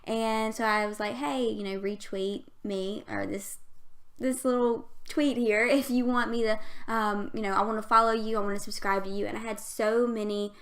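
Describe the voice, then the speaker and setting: A woman's voice: a little high, flat delivery, normal speed. A woman in her twenties with a Southern US accent and a youthful, girlish voice, chatting casually and rambling over a game build, often giggling and stumbling over her words. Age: 20s. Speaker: YouTube gaming creator.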